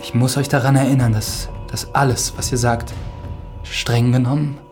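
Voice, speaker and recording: A man's voice: medium pitch, level tone, moderate pace, close to an everyday voice. An earnest young priest played by a male actor in a radio drama. He speaks in a mid-range voice, cautious and respectful, with a dutiful hesitancy, over background music. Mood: nervous warning. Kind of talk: German radio play dialogue.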